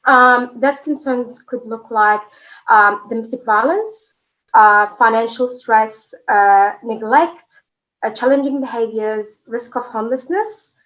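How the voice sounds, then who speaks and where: A woman's voice: a little high, slow pace, fairly bright tone, rough recording. A woman from Iraq whose first language is Arabic, a family support manager introducing herself in a webinar, speaking with hesitant fillers.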